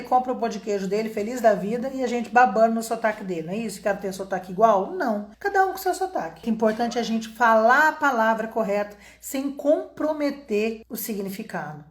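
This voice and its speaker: A chatty Brazilian woman gives a casual video lesson, talking straight to her viewers in a lively, animated way. Her voice sits a little high. The room around her is echoey. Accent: Brazilian Portuguese. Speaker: English teacher.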